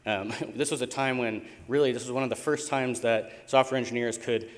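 A man's voice: medium pitch, fairly even intonation, a little fast. An American man in his thirties giving a conference presentation in an informal, hesitant way, with frequent ums. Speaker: conference keynote speaker, tech company co-founder. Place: indoor conference stage in a large hall. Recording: reverberant hall sound from stage PA.

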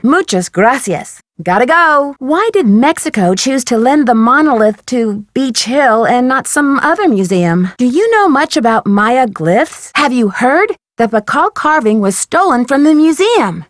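A woman's voice: on the high side, no echo, studio quality. An actress playing a polite, inquisitive young female detective in a video game, courteous and articulate as she probes.

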